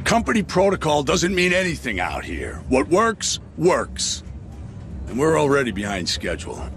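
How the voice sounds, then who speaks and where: A man's voice: fairly high pitch, huge pitch swings, normal speed, a bit bright, faint music behind, exaggerated voice. An actor playing a dismissive, impatient male administrator in charge of a sci-fi command deck in a video game. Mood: angry, dismissive. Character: gruff, brusque male corporate administrator in command.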